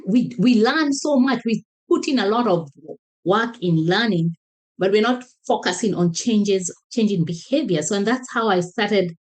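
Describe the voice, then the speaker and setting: Kenyan-accented woman, interview guest, enthusiastic, halting restarts. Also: medium pitch, lively intonation. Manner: warm, enthusiastic, often restarts phrases. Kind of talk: casual interview chat.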